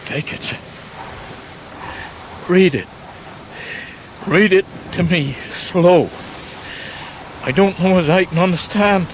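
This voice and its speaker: An actor playing a simple, proud older fisherman uncle with a gravelly, weighty rustic delivery in a radio drama. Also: high-pitched, a little hiss or hum, poor audio. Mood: dread. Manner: plain rustic, slow and weighty. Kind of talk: period radio drama acting.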